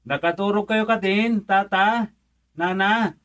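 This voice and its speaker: A man's voice: sits high, takes it slowly, fairly bright tone, a little hiss or hum. A man playing a polite young man visiting an older couple in a radio drama. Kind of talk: Ilocano radio drama acting.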